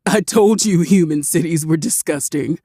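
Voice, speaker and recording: A woman voicing an amused, easygoing male demon who teases dryly, a little lower and louder than her narration. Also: moderate pace, slightly rough, no echo. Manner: laid-back, dry teasing.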